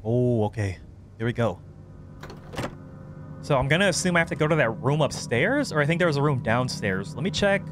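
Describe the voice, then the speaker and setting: A man's voice: mid-range voice, lively intonation, brisk, pauses often, noisy background. Uneasy young man playing a horror game. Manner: uneasy, reluctant reactions. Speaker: gaming video host. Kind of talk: casual let's play commentary.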